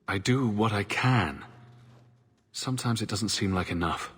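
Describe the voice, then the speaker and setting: An actor playing a resolute, earnest champion in a video game, talking plainly and bluntly. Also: male voice, fairly low pitch, unhurried, a bit bright, husky. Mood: subdued, regretful. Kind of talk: video game drama acting.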